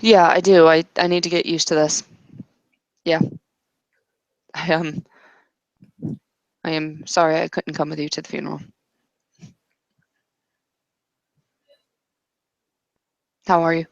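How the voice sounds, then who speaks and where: A woman voicing a conflicted, apologetic woman scout in an improvised tabletop roleplay, speaking haltingly and with awkward sincerity. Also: low voice, flat delivery, dry recording, dull audio. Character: conflicted, apologetic young woman scout who runs a restaurant.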